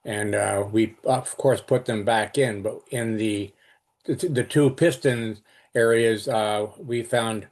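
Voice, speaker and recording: Halting man with a lowish voice. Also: steady tone, darkish tone. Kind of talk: casual account on a group call.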